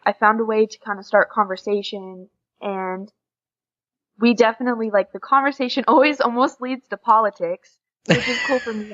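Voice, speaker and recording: A woman's voice: medium pitch, fairly bright tone. A young American woman from Oklahoma chatting casually as a guest in an interview. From Oklahoma, United States. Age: young adult. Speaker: podcast guest, exchange student. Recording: dull lo-fi audio.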